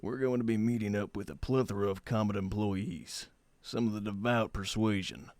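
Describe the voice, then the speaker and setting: A man playing a calm, matter-of-fact medic in an audio drama, with measured, formal phrasing. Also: fairly low pitch, dry recording, close to an everyday voice. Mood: firm, apologetic.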